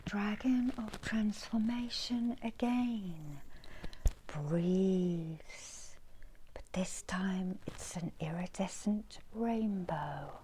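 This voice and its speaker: A middle-aged woman leads a healing meditation in ASMR style. Her voice is mellow and breathy, often dropping into a whisper, and she speaks extremely slowly with long, soothing pauses. There is faint noise behind her. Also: medium pitch, rough recording. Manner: soft, slow, soothing delivery with long pauses. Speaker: reiki healer and meditation guide. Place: small indoor room.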